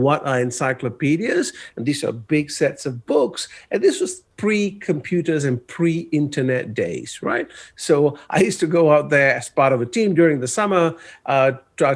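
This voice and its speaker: A man in his sixties from Malaysia gives a presentation as a guest speaker and tells a personal story in a conversational way, with the occasional "uh". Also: medium pitch. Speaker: guest speaker, cross-cultural coach.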